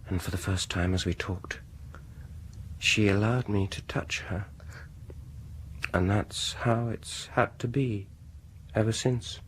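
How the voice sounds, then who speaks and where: A low-voiced, vengeful dentist in a radio horror play. He speaks slowly and menacingly, gloating over each phrase and sinking into whispers, with some noise on the recording. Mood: sinister, intimate. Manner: theatrical, menacing, lingering, dropping to whispers. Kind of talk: radio horror drama acting.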